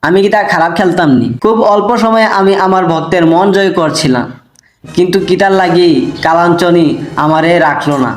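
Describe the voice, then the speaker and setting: A man's voice: high-pitched, brisk, reverberant, close to an everyday voice. A man voicing an aggrieved adult male cartoon footballer who complains in a plaintive way, speaking Bengali with a Sylheti accent. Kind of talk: cartoon voice acting.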